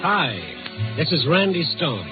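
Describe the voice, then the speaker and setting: A man's voice: fairly high pitch, big pitch swings, slow pace. An American actor playing a world-weary night reporter in a 1950s radio drama, wisecracking as he narrates. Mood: brooding, wry. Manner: hard-boiled, wisecracking narration. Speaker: actor in the lead role. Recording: tinny old radio recording with loud music.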